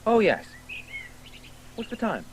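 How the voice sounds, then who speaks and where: Pedantic, haughty boy, animated and high. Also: slow pace, a little hiss or hum, heavily acted. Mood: bossy, eager. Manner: pedantic, condescending explaining. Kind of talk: 1980s radio drama acting.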